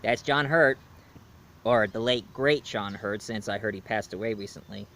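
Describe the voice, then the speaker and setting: A man's voice: on the low side, normal speed, a bit bright, slight background noise. A man giving a casual spoken film review, chatty and jokey as he retells the plot.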